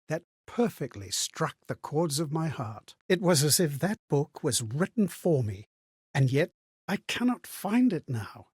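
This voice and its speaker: A lost male spirit in a fantasy video game. The voice sits fairly high and is husky, recorded close and dry. He speaks with formal, theatrical care, earnest and melancholy. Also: dry recording, heavily acted. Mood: wistful. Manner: theatrical, measured, formal diction. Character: amnesiac male spirit reliving strangers' memories, earnest and melancholy. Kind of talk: dramatic scripted game monologue.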